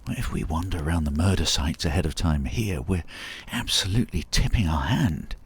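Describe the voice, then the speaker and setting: A man acting as a confident, secretive ex-marine in an audio drama, measured and assertive. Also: low-pitched, slight background noise. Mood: firm, persuasive. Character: secretive, confident ex-marine man plotting a time-travel plan.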